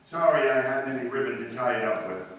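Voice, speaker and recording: A man's voice: medium pitch, lively intonation, fast talker, a bit bright, lightly acted. A man acting in a 1940s-style radio drama as a suave, sardonic, needling ex-husband. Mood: breezy. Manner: wry, sardonic. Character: suave needling ex-husband, wry, urbane and lecturing. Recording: echoey hall from far off, faint noise.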